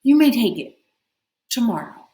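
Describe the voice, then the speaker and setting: A woman voicing a gentle, earnest young mermaid princess in a storybook tale, speaking politely. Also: fairly high pitch, sing-song, moderate pace, reverberant, voice pushed up. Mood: pleading. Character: gentle, lovely young mermaid princess, the Sea King's daughter.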